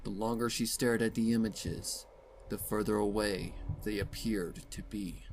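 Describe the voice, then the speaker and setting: A man narrating scripted fan fiction as a dramatic reading, solemn and measured. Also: fairly low pitch, fairly even intonation, a little mellow, a little hiss or hum.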